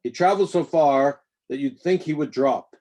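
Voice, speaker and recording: A man's voice: fairly high pitch, moderate pace, dark tone. A man reads a rhyming children's picture book aloud with playful, theatrical emphasis on the nonsense words. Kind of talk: expressive read-aloud of rhyming storybook verse.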